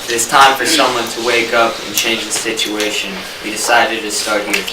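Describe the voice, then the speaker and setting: A man's voice: on the low side, little pitch movement, normal speed. A male student performer reading scripted lines aloud for readers theater. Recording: noisy echoey room, distant mic.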